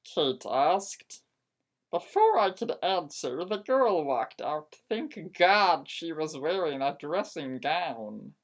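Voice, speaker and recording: Woman, dramatic story narrator, theatrical, slow pace, lively swooping intonation. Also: low-pitched.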